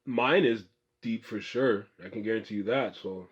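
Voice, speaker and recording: A man's voice: fairly low pitch, moderate pace. A young man hosting a podcast, chatting loosely with a friend in slang and cursing casually. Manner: loose, slangy, laughs a lot, swears casually. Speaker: podcast host. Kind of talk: casual chat. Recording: muffled lo-fi room recording.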